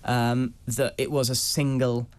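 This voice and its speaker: A man's voice: medium pitch, little pitch movement, unhurried. A British man giving explanatory news commentary as a correspondent, in an articulate, earnest, advisory way. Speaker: travel correspondent, guest.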